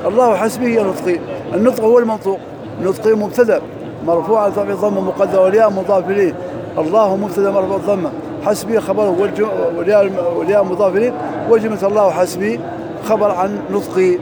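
A man teaching Arabic grammar in a mosque, drilling through examples quickly and reciting verses slowly. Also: sits high, steady tone, crowd noise, low-quality recording. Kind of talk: lesson parsing grammar and verse.

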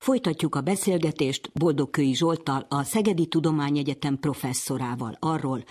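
A woman hosting a radio interview in a measured broadcast style. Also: deep voice, a little mellow, breathy edge, no room sound, clean studio sound.

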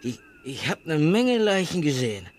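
An actor playing a blunt, hesitant pathologist in a radio drama, deliberate and matter-of-fact. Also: male voice, on the high side, sing-song. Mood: dry, deliberate. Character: hesitant but blunt village-case pathologist.

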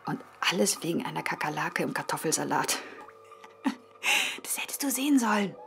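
An actress playing a young German woman who whispers tender stories to her comatose lover in a radio drama. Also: high voice. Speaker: radio play character.

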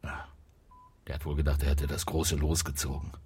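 An actor playing a stern, probing police detective in a naturalistic radio crime drama, terse and understated. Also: male voice, extremely low pitch, monotone, normal speed, mellow, breathy edge, exaggerated voice. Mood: weary, sober.

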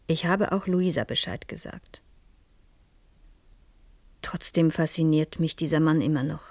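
An actress playing a curious, composed madame in a radio crime drama, speaking in a reflective, wry way. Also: female voice, deep voice, unhurried, a little mellow, no echo. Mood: wistful, reflective. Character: curious, composed madame narrating her own dangerous case. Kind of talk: German radio crime drama acting and narration.

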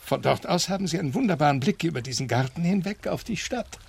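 Creaky-voiced, wistful aging gentleman. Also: on the high side, animated, quick pace, exaggerated voice. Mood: gently enchanted. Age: middle-aged. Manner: cultivated, reminiscing, wordy. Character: wistful, refined ailing English gentleman in his late fifties. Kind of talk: German radio play acting.

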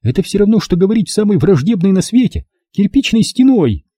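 A man reads the part of a stubborn grown daughter in an audiobook. Her voice sits high, with a dark, muted tone. She argues curtly, defiant and defensive with a weary edge. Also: big pitch swings, a little fast, breathy edge, no echo, heavily acted. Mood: weary, resigned. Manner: curt, argumentative. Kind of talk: audiobook character dialogue.

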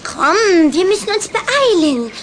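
An eager little vampire girl in a children's radio play. Her voice is high and chirpy, and she coaxes with cheeky confidence. The recording has clear background noise. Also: very animated, slow pace, full character voice. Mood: eager, persuasive. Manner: chirpy, insistent, self-assured. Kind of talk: German children's radio drama acting.

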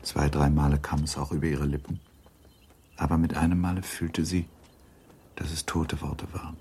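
A man giving a measured, scripted literary narration for a radio drama. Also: very deep voice, level tone, a little mellow, a little hiss or hum.

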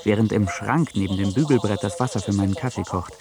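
A man's voice: on the low side, fast, over music, lightly acted. A man acting in a radio drama as an exhausted business traveller wearily listing his routines. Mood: harried. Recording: crowd noise behind the voice.

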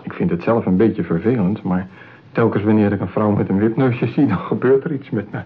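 A man's voice: fairly low pitch, a little fast, slightly rough. An actor playing a shy, lonely male customer in a radio play, speaking in a hesitant, bashful way. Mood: shyly excited. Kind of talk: radio drama acting. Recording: tinny, narrow-band old radio play.

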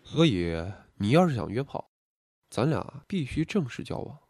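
A man playing an aloof, teasing young doctor in an audio drama, speaking in a deadpan, matter-of-fact way. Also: mid-range voice, normal speed, no room sound, clean studio sound.

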